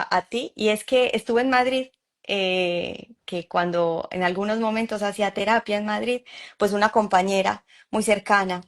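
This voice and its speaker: A Colombian woman in her forties, a psychologist guest, telling her story in an easy, laughing way. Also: mid-range voice. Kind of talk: conversational interview.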